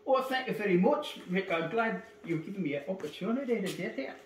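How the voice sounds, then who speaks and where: A man's voice: high voice, lively intonation, brisk, slight background noise. An older man voicing a shy twin brother with a put-on Scottish accent, talking bashfully and giggling at himself. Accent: put-on Scottish over Australian. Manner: bashful, giggly, self-deprecating. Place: small living room, indoors. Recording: echoey room with guitar.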